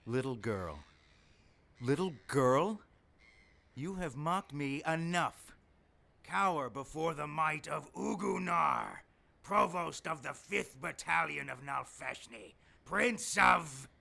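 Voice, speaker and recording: Man playing a slow, gloating demon villain. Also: a little high, lively intonation, gravelly, pushed and bright, heavily acted. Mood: enraged, grandiose. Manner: pompous, menacing, theatrical, deliberate delivery. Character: gloating male demon villain posing as human lover. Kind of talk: audio drama acting.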